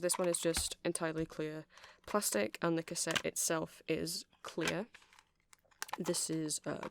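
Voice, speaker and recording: A man's voice: on the high side, gravelly, a little hiss or hum. A man playing a nerdy, friendly vampire music shop clerk in an ASMR roleplay, rambling and hesitant as he explains things. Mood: absorbed, nerdy. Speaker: shopkeeper character in ASMR roleplay.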